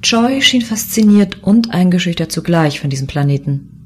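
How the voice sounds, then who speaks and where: A woman's voice: on the low side, expressive, moderate pace, natural voice. A woman playing a composed, matter-of-fact space police commissioner, narrating in the first person in a science-fiction audio drama. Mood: composed, reflective.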